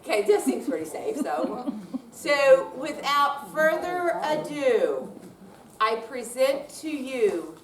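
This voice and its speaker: Distant, high-voiced woman hosting in echoey room. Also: very animated, slow. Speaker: event host. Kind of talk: informal welcome speech to an audience. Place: indoor room at a senior center. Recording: roomy, distant mic, noisy hall.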